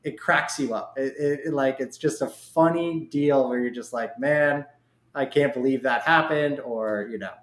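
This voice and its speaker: Guy hosting a podcast, echoey room, average pitch, casual rambly questions. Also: normal speed. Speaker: podcast host. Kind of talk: casual interview. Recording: echoey, roomy sound.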